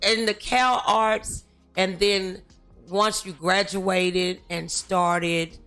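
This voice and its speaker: A woman hosting a talk show, asking questions casually in a halting, hesitant way. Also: medium pitch, fairly even intonation, a bit bright, soft background music. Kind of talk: casual interview chat.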